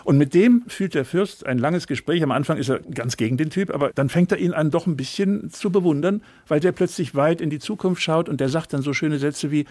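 A man's voice: on the high side, a little fast, few pauses, a little mellow, dry recording. A man speaking conversationally as an interview guest, explaining a book and quoting lines as he goes. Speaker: literary translator, interview guest.

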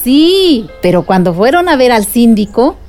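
A woman playing a calm storyteller who recounts village events in a radio drama. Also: on the high side, unhurried, faint music behind. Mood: explaining.

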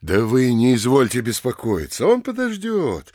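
A man playing a wily, servile middle-aged town mayor in a theatrical comedy audio drama, with a gravelly, unctuous delivery. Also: medium pitch, wildly swooping pitch, moderate pace, a little mellow, no echo, clean studio sound. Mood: fawning, eager. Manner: obsequious, unctuous and courtly. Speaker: actor.

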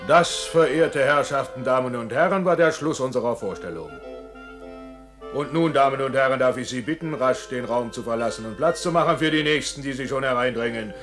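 A man's voice: mid-range voice, a little fast, a bit bright, natural voice. A grandiloquent male showman played by an actor presents a grim fairground ballad show in a radio drama. He draws out his phrases theatrically, with faint music behind him. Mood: solemn, showy. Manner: grandiloquent, addressing spectators, drawing out phrases.

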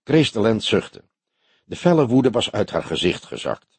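Husky, expressive male audiobook narrator. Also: lowish voice, normal speed, dry recording. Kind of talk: expressive scripted narration of a detective novel.

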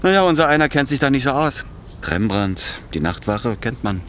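An actor playing a chatty, naive working-class ex-convict in a naturalistic radio crime drama, speaking colloquially and jovially. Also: male voice, medium pitch, sing-song, normal speed, fairly bright tone, a little hiss or hum. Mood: curious, teasing. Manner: colloquial, jovial, simple-minded.

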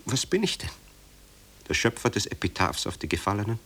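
A tormented composer in a radio play, low in pitch. He broods bitterly over his guilt in literary, rhetorical sentences. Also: very animated, a little fast, sharp tone, heavily acted. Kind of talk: German radio drama acting.

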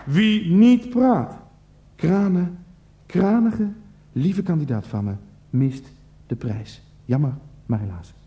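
A man playing a pompous, pushy TV game show host in a radio play, with theatrical, drawn-out delivery. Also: high voice, lively intonation, warm tone, a little hiss or hum, full character voice. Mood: pushy, mock-solemn. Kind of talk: exaggerated showman presenting in a radio play.

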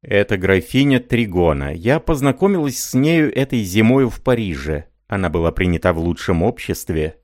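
A man's voice: deep voice, darkish tone, dry recording, clean studio sound. A man reading an audiobook, voicing a proud middle-aged aristocratic princess with a gossipy, haughty manner.

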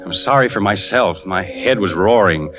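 Actor as a troubled 1940s radio husband, dull recording. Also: male voice, lowish voice, moderate pace, over music, close to an everyday voice. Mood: grim, brooding. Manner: brooding narration breaking into intense urgent dialogue. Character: troubled husband and fire inspector, narrating grimly, urgently pleading. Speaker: actor and narrator in a radio play. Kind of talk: 1940s radio suspense acting, first-person narration.

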